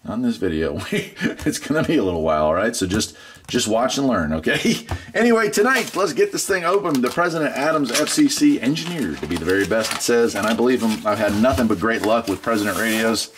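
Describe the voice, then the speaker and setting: A man's voice: mid-range voice, sing-song, brisk, a little hiss or hum. A middle-aged man chatting casually through a product unboxing in a laid-back, folksy way. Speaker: product reviewer, channel host.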